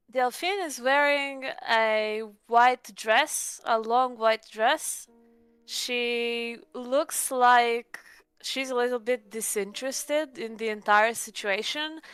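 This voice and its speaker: A woman's voice: a little high, a bit bright, clean tone, no echo. A woman playing a tabletop roleplaying game, casually improvising a description of her character.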